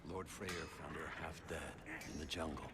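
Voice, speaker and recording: An actor playing a grave follower of a lord in a video game. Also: male voice, a bass voice, steady tone, moderate pace, music in the background. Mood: somber. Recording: clear background noise of game ambience.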